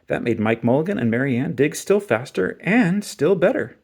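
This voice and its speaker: A man reading a children's story aloud in an expressive, dramatic and emphatic way. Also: on the high side, normal speed, vocal fry. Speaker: storybook reader.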